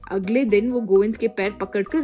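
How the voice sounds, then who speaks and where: A woman's voice: medium pitch, steady tone, normal speed, warm tone. A woman narrating a scripted moral story, with music behind her.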